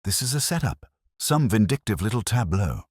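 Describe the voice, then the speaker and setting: A man's voice: fairly low pitch, very animated, normal speed, breathy edge, no room sound, clean studio sound, voice pushed up. A man voicing a defensive, blustering male colonel in an audiobook, indignant and dismissive in manner. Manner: indignant, scoffing.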